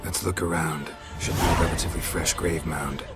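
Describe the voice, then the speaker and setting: An actor with an American accent playing a gruff, stoic monster hunter in a video game, terse and gravelly. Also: male voice, brisk, over loud music, natural voice. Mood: calm, supportive. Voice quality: gravelly, low. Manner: terse, deadpan.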